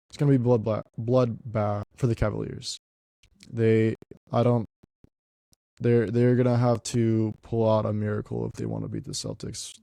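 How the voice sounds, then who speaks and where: A man's voice: mid-range voice, gravelly, dark tone, dry recording. Stuffy-voiced young man talking basketball. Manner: halting, repeats words often. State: congested, stuffy. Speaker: podcast host. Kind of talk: casual basketball commentary.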